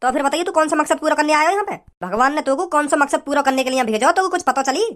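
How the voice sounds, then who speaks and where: A man playing a scolding, preachy village father in a comedy cartoon, with a pitched-up voice. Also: very fast, fairly bright tone. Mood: scornful. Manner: preachy, repetitive, hectoring.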